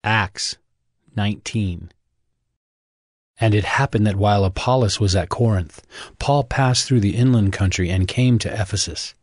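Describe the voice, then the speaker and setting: A man giving measured, formal scripted narration for a dramatized Bible. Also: fairly low pitch, no room sound, studio quality. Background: music.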